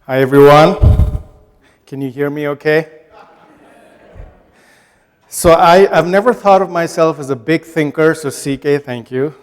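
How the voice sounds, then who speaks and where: A man from Nepal delivers a prepared talk in a reverberant lecture hall. His voice sits a touch high, and he tells his story in a measured, reflective way. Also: clear, resonant tone. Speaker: guest speaker.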